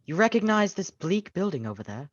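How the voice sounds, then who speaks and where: A woman acting a solemn, ageless, childlike spirit of the past in a radio play, speaking in a measured, stately way. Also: fairly low pitch, sing-song, no echo. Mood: grave, guiding. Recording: dull lo-fi video call audio.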